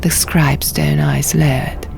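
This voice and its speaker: A woman narrating a fantasy audiobook in a hushed, measured, dramatic storytelling style. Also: low voice, flat delivery, creaky. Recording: music behind the voice.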